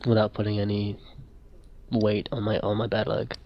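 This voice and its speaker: Low, even-toned man in a role-play. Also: normal speed, highs cut off, slight background noise. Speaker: player in a role-playing game. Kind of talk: casual narration of his own actions.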